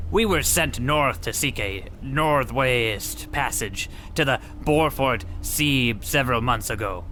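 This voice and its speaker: Man as earnest ship captain, bright forceful voice. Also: medium pitch, a little hiss or hum. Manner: grave storytelling undercut by chatty asides. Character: earnest, slightly dim polar ship captain. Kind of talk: parody of old radio drama.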